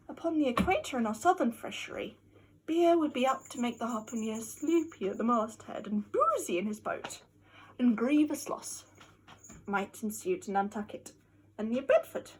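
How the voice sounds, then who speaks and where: A woman giving a theatrical, mock-scholarly dramatic reading of a classic novel, with emphatic delivery and a husky voice. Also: fairly high pitch, rough recording. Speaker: amateur reader.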